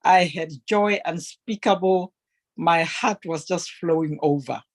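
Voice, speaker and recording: A woman's voice: lowish voice, a little slow. A woman giving a heartfelt testimonial to an online meeting, speaking in an earnest, emphatic and fervent way.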